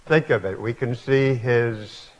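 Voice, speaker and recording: An elderly man in his eighties speaks slowly to an audience in an auditorium, pausing often and filling gaps with hesitant fillers as he reflects. His voice sits at an ordinary middle pitch, and the live stream sound is dull and lo-fi. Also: steady tone. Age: 80s. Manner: halting, reflective storytelling with many fillers. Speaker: guest speaker. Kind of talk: public lecture.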